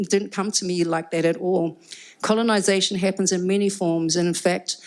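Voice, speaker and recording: A middle-aged woman with a New Zealand accent talks candidly to a live audience. Her voice sits a little low, and she is frank and reflective, circling back and restarting as she builds her point. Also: low-quality recording. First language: Cook Islands Māori. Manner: forthright, reflective, frequent "um" and restarts. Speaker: panel guest.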